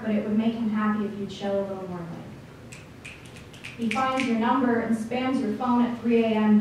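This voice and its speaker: A woman performing slam poetry, speaking deliberately with dramatic phrasing and pauses. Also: a little high, distant mic. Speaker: slam poet. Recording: echoey room from afar, faint noise.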